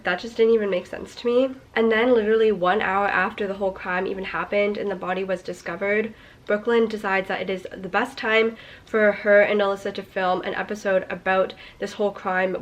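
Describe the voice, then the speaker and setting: A young woman reviewing a book in a casual vlog, her voice stuffy and nasal from a cold. She rambles and sprinkles in "like" as she picks apart the plot. Her pitch sits in an ordinary middle range. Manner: chatty, critical, heavy use of "like". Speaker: book vlogger.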